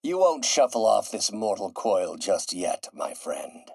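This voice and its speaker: An actor voicing a grandiose, middle-aged scientist turning villain in a video game, theatrical and erudite, with precise enunciation. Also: male voice, lowish voice, big pitch swings, unhurried, creaky, a little mellow, husky, no echo, studio quality, exaggerated voice. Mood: smooth persuasion. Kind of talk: video game voice acting, dramatic monologue.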